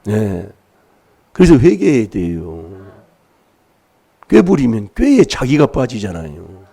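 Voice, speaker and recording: A man's voice: medium pitch, wildly swooping pitch, slow pace, creaky, warm tone, breathy edge. A man preaching an emphatic sermon in a church, prodding his listeners with rhetorical questions while he has a cold. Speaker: pastor.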